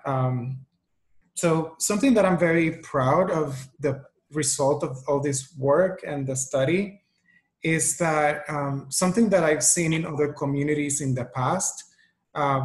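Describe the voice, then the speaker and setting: A man from Puerto Rico, Spanish his first language, answers panel questions in a fairly high voice. He talks over a video call and thinks aloud as he goes, pausing and restarting. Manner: thoughtful, with frequent ums and restarts. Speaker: researcher on a webinar panel.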